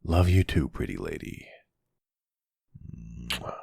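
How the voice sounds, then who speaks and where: An actor playing a tender, devoted older mafia boss boyfriend in an intimate ASMR roleplay, speaking softly and gently with a husky voice. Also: male voice, extremely low pitch, sing-song, dry recording, studio quality, full character voice. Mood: affectionate, relaxed. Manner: gentle, slow, affectionate.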